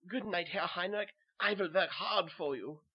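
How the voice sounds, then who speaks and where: A man's voice: high-pitched, moderate pace, husky, lo-fi sound, voice pushed up. A man playing a weary, haunted German soldier who narrates a horror tale in simple short sentences, with a German accent. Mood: subdued. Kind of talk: first-person horror audio narration.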